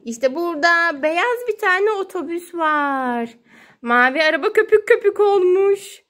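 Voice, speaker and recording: High-pitched, slow-talking mother playing. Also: lively intonation, a bit bright. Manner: sing-song baby talk with repeated words. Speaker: mother playing with her young child. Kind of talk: playful child-directed play narration. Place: indoors at home beside water play tubs. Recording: noisy home phone recording with clicks.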